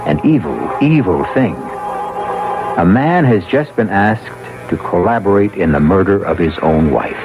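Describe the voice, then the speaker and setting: A man hosting a radio mystery drama, narrating in a solemn, portentous, deliberate manner. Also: deep voice, creaky, full character voice, poor audio. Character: solemn, brooding host of a mystery tale. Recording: old radio broadcast with music behind.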